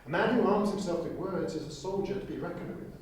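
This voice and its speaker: A man auditioning as a grave, stately alien lord, speaking in measured oratory for an audio drama. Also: high voice, voice pushed up, lightly acted. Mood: grave, declamatory. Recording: very echoey room, distant mic, faint noise.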